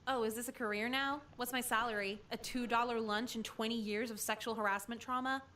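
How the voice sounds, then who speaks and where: A woman voicing a cynical, foul-mouthed teenage schoolgirl in a visual novel game, sarcastic and deadpan. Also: fairly high pitch, fairly quick, a little hiss or hum. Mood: sardonic.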